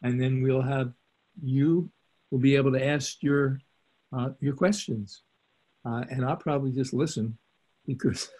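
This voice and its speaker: An older man hosting a video call, talking in a halting, rambling way with many fillers, recorded through a laptop webcam in a small room. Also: mid-range voice, a little mellow, slightly rough, low-quality recording. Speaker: call host.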